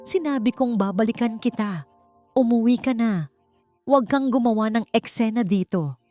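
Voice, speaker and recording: A woman's voice: a little high, normal speed, warm tone, dry recording, voice pushed up, lightly acted. Lady narrator doing an angry controlling filipino husband, muttered orders, audio drama w music. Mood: angry, commanding. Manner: angry hissed muttering, commanding. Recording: music bed under the voice.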